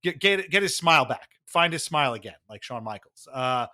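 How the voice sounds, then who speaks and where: A man hosting a podcast, talking casually about hockey in a rambling, animated, conversational way. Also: on the high side, brisk. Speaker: podcast host.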